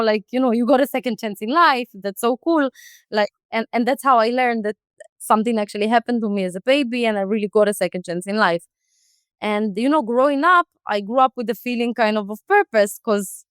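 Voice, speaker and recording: Woman, 30s, higher register, clear dry tone; loose, rambling podcast guest. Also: no room sound. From Israel. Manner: chatty, many fillers and restarts. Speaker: guest, company founder. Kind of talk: casual interview answers.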